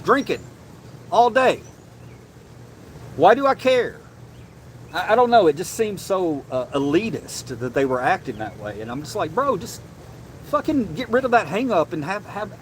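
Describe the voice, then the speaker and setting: Animated man chatting with his stream. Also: high voice, slight background noise. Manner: animated, swears casually, says bro and man a lot. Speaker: livestream host reading chat. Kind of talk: casual livestream chat. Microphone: desk mic, sometimes leaning away.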